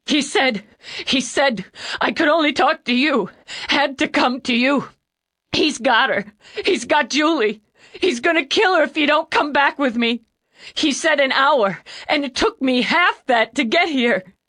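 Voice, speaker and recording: A man's voice: extremely high pitch, normal speed, pushed and bright, voice pushed up. A woman voicing a skinny young man in his late twenties, crying and breathless, his words tumbling over each other. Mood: sobbing panic. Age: late 20s.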